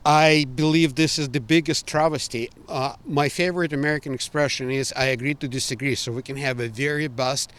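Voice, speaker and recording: A man's voice: mid-range voice, fairly even intonation, fairly quick, few pauses, a bit bright, smooth. An older man from Ukraine with a Ukrainian accent, giving emphatic, earnest, opinionated answers as an interviewee. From Ukraine, former Soviet Union.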